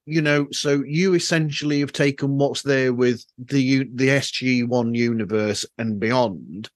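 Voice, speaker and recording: A man's voice: medium pitch, a little slow, no echo. Rambling male podcast host, very dry sound. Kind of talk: casual interview chat. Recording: dry, faulty podcast microphone.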